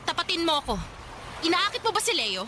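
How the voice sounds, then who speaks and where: Very high-pitched lovesick young Filipina. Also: female voice, very animated, a little fast, background noise. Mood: jealous, accusing. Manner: emotional, insistent. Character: lovesick, jealous, pleading young working woman. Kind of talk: Tagalog radio drama acting. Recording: music bed behind dialogue.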